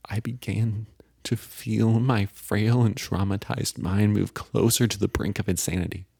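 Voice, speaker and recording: A man narrating a dramatic first-person story as a frightened, lonely teenage boy, solemn and full of pauses. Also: fairly low pitch, steady tone, vocal fry, warm tone, slightly rough, no echo, clean studio sound, heavily acted. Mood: bleak, despairing.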